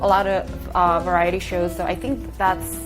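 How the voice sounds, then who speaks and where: A woman speaking in a measured, slightly hesitant way on a casual panel. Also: lowish voice, level tone. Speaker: panel guest. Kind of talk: casual group chat. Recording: music bed under the talk.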